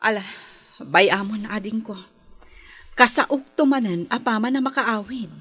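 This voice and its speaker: High-voiced long-suffering wife. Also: female voice, a little slow, fairly bright tone, husky. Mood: weary, pleading. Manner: insistent, pleading. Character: long-suffering, hard-working wife and mother, patient but firm. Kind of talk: Ilocano radio drama acting.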